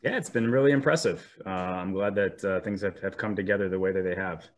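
A man's voice: low-pitched, brisk. Sniffly man hosting a webinar. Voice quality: filler-heavy, frequent ums and restarts. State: sniffly from a cold. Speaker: 3D printing specialist presenting a webinar. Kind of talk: webinar presentation. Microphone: video call.

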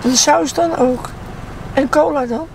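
A woman's voice: high-pitched, a little slow, gravelly. A woman chatting casually in short, simple phrases. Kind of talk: casual chat. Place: passenger seat of a car, also out in town. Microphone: vlog camera, off to the side. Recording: distant mic, loud car noise.

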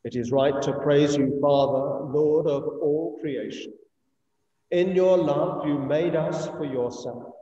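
A middle-aged English man recites a communion prayer as a priest, solemn and deliberate. His voice is fairly high and mellow. It rings through a heavily echoing church. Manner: solemn, measured, deliberate phrasing. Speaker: priest leading communion service. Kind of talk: liturgical recitation of a eucharistic prayer. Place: inside a church, streamed over video call. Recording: echoey church, distant mic, muffled video-call sound.

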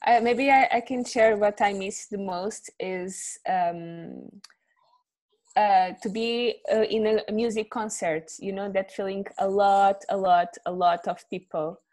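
A woman's voice: medium pitch, fairly even intonation, a little slow, darkish tone. Woman hosting a video call. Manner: hesitant, laughing often, many fillers. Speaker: event host. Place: home room with bookshelves. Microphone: earphone mic on a video call.

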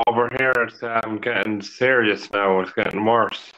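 Irish man on a lo-fi video call, crisp edgy voice, laid-back, laughs often and swears. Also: lowish voice, steady tone, normal speed, bright, forceful tone. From Ireland. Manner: laughs easily, swears casually. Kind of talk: casual chat. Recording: dull lo-fi call audio.

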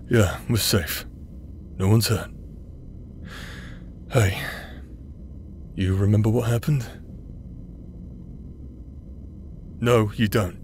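A man's voice: lowish voice, darkish tone, a little hiss or hum. A man playing a cocky but caring rogue in an audio roleplay, talking in a casual, teasing, easygoing way. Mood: gently concerned. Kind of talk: scripted audio roleplay acting.

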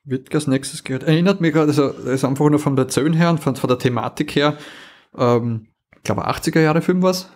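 A man's voice: a little high, brisk, a little mellow. A man, co-hosting a podcast, chatting casually and restarting his sentences while he searches for names. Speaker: podcast co-host. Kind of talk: casual chat.

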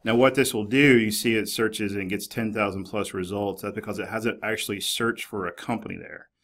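A man in his forties with a fairly low voice talks through an instructional screencast. He explains things informally and conversationally, like a presenter helping students one step at a time. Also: steady tone, fairly quick, fairly bright tone. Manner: conversational, informal, hedging with "basically" and "kinda". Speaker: university librarian presenter. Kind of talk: screencast tutorial, casual explanatory talk. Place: small office, indoors.

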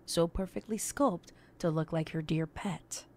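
A woman narrating a scripted horror story in a measured, dramatic storytelling manner. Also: lowish voice, a little mellow, a little hiss or hum.

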